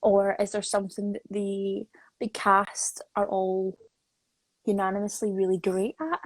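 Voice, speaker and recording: A woman's voice: medium pitch, steady tone, unhurried, no echo. A woman hosting a casual live interview, putting questions to her guest in an informal, conversational way. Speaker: interview host. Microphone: video call.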